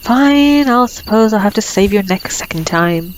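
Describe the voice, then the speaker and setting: A woman's voice: medium pitch, animated, moderate pace, slight background noise, lightly acted, low-quality recording. A woman playing a cocky, flirtatious female vampire in an ASMR roleplay, sardonic and playful. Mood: smug, bantering. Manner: sardonic, playful, casually swearing. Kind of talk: ASMR roleplay audio drama.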